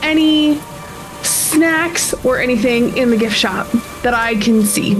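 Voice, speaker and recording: A woman with a fairly high voice plays a tabletop roleplaying game. She improvises casually and haltingly, sounding a little nervous, with music clearly playing behind her. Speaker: tabletop RPG player.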